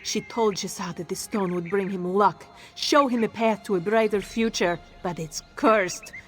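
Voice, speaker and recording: A woman's voice: medium pitch, normal speed, a bit bright, faint music behind, natural voice. A woman playing an anxious, grieving and bitter lover in scripted fantasy game drama.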